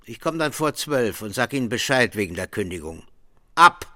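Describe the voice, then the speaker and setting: An actor playing a blustering, bullying small-town merchant boss, gruff and sarcastic, in a German radio drama. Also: male voice, mid-range voice, very animated, normal speed, bright, forceful tone. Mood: contemptuous.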